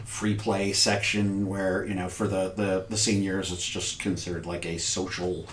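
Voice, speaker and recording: A man working as game master, narrating an improvised tabletop story in a casual, halting, conversational way. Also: low voice, flat delivery. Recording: echoey room, background noise.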